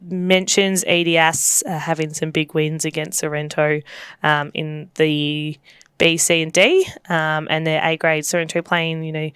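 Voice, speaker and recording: A woman with a slightly croaky voice, co-hosting a casual netball chat as a coach, chatty with lots of fillers and laughs. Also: low voice, flat delivery, measured pace, a bit bright, dry recording, clean studio sound.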